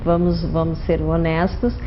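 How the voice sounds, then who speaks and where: A woman's voice: low voice, level tone, normal speed, a little hiss or hum. Woman, Portugal-born, airline manager, TV guest, fluent, businesslike, dull sound. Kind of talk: TV interview guest. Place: TV studio. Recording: dull TV audio.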